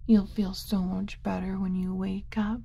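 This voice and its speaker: Kind alien mother, played by a woman, flustered but tender; ASMR roleplay, soft and mellow, unhurried, slipping into whispers. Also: mid-range voice, flat delivery, vocal fry, breathy edge. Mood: tender, cooing. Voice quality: soft, often dropping to a whisper. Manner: gentle, cooing, hesitant muttering to herself.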